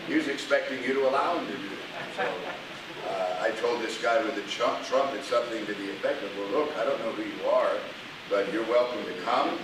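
A pastor speaking plainly and emphatically to a men's meeting in a church meeting room. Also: male voice, mid-range voice, brisk. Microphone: far camera. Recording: echoey room from far off with hiss.

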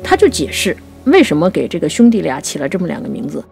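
Low-pitched woman discussing literature. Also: sing-song, fast, creaky, darkish tone, soft background music. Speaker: podcast writer and co-host explaining poetry.

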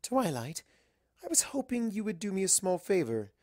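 A refined young lady pony from a storybook, put on by a male narrator, speaks in a raised pitch. She is fussy and genteel, coaxing in an affected way. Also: very animated, moderate pace, darkish tone, no room sound, studio quality.

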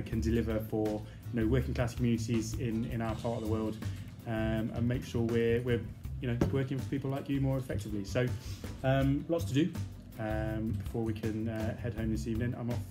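A man in his thirties chats through his workday to a handheld phone camera, filling his speech with ums and uhs. His voice sits at an ordinary middle pitch, with music playing clearly underneath. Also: fairly even intonation, a little fast. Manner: chatty, many fillers, talks while walking. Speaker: member of parliament. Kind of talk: casual vlog narration. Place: offices and corridors, outdoors at night. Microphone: phone camera at arm's length.

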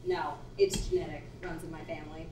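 A young woman on a small theater stage playing a blunt, tough-talking woman who is proud and unbothered, with a curt, deadpan delivery. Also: sits low, fairly quick, gravelly, a little hiss or hum, exaggerated voice. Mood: dry. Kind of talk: live stage acting. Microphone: far room mic. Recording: roomy theater sound from far off.

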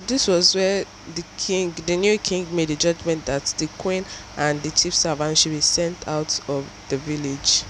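A woman's voice: sits low, fairly even intonation, unhurried, few pauses. Young Nigerian woman, noisy recording. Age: young adult. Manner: informal storytelling, explanatory. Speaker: student vlogger. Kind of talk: casual vlog voice-over recap.

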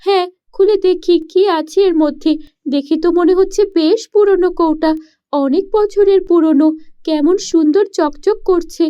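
A woman's voice: very high voice, a little slow, mellow, dull audio, voice pushed up. A woman voicing a poor, spirited village girl in a cartoon story, earnest and devoted to her family. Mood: curious. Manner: earnest, protesting, questioning.

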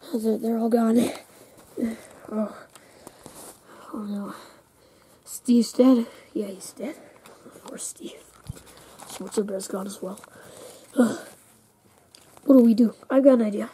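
A woman play-acting frightened toy film-crew survivors fleeing a monster in amateur found-footage horror, with breathless exclamations and hushed stammering. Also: fairly high pitch, slow pace, pauses often, slightly rough, exaggerated voice. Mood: shaken, mournful. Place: outdoors on a covered paved patio. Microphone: handheld camera microphone. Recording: faint noise, handheld camera audio.

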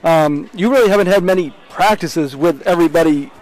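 Man, Maine accent, postgame interviewer, slightly high, stumbles through questions. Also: darkish tone, poor audio. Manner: halting, many fillers. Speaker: sports interviewer.

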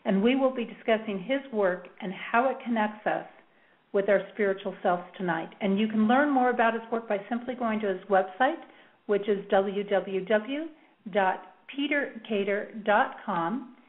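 A woman's voice: medium pitch, moderate pace. Female interview host, friendly and chatty, restarts often; narrow, phone-like audio. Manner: warm, chatty, with small laughs and restarts. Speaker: radio show host. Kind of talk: interview hosting. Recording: tinny phone-like line.

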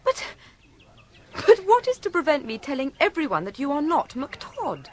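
Spirited young English lady, lively intonation. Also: high voice, normal speed, a little hiss or hum. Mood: indignant. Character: spirited, sensible young lady, exasperated by her suitor. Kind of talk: radio comedy drama.

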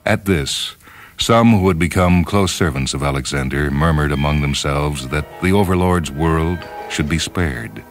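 Male narrator, extremely low voice, solemn measured dramatic storytelling, music behind. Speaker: short story reader. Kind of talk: dramatic scripted narration of science fiction. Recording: voice over background music. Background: orchestral and piano music.

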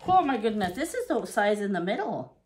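A middle-aged woman from Canada chatting casually through her grocery haul in her home kitchen, reading prices aloud and thinking out loud. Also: medium pitch, big pitch swings, fairly quick. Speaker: vlogger. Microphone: fixed camera at table.

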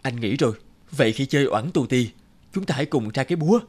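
A man playing a scheming, lazy, cowardly elder brother in an animated folk tale, feigning weariness and stammering when scared. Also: mid-range voice, fast, breathy edge. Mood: earnest persuasion.

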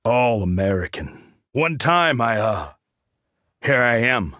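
An actor playing a cocky, wry assassin mercenary in a video game, delivering short quips in a dry, sardonic, boastful way. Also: male voice, mid-range voice, very animated, slow pace, a bit bright, no room sound. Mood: boastful, playful.

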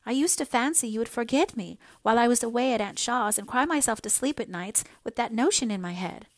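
A woman's voice: a little high, expressive, a little fast, no echo, studio quality, voice pushed up, natural voice. A woman voicing a devoted young daughter in an audiobook, earnest, fervent and pleading. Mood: humble, earnest. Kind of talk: dramatised audiobook dialogue.

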